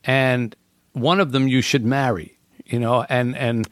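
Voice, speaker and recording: Middle-aged man, earnest and reflective, mid-pitched voice, dry close sound. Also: steady tone, measured pace, fairly bright tone, no room sound. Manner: earnest, thoughtful, frequent "you know" fillers. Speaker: podcast guest. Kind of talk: reflective casual conversation.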